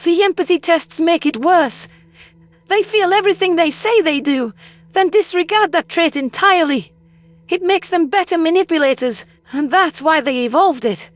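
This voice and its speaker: A woman's voice: very high-pitched, moderate pace, no room sound. A woman playing a traumatized, bitter female alien historian in an audio drama, agitated and intense, prone to stammering. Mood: accusing, despairing. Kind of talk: dramatized audiobook character acting.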